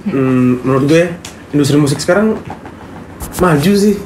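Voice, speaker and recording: A man's voice: on the high side, lively intonation, moderate pace, darkish tone. A young man with a colloquial Jakarta Indonesian accent, chatting informally and in slang as an interview guest. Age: young adult. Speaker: band member, interview guest. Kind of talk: casual interview chat. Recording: roomy echo, faint background noise.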